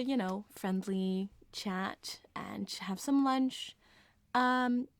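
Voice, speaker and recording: Female ASMR storyteller, casual and confessional, unhurried delivery with a light giggly touch, very dry sound. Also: a little high, no echo.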